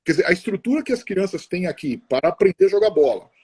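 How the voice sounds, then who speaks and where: Mellow husky middle-aged man chatting. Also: sits high, big pitch swings. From Brazil. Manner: chatty, halting, many restarts and tag questions. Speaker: interview guest. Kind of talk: casual conversational interview.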